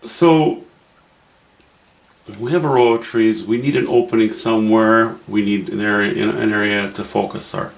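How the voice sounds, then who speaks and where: A man's voice: on the low side, steady tone, moderate pace, gravelly, echoey room. A man with a Canadian accent, thinking aloud in a wry, musing way as he walks through a painting demo. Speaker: painting instructor. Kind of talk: casual painting demo narration.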